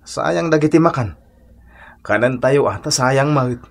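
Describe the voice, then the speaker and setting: A man with an Ilocano accent playing a simple, food-loving village husband in a radio comedy drama, expressive and matter-of-fact. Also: medium pitch, measured pace, vocal fry. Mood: eager.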